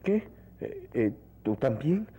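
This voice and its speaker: Husky, stammering, flustered woman. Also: low voice, wildly swooping pitch, slow pace, mellow, exaggerated voice. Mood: bewildered, flustered. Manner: stammering, hesitant. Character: bewildered, defensive person accused of smelling foul. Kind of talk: old radio drama acting.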